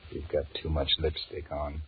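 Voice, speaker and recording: Very deep-voiced weary businessman, flat-toned, grumbling and wheedling, flirtatious boss, vintage 1940s radio drama. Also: normal speed, breathy edge, heavily acted. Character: weary, restless married businessman flirting with his secretary. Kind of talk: 1940s radio drama acting, inner monologue and dialogue. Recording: muffled, hissy 1940s radio recording.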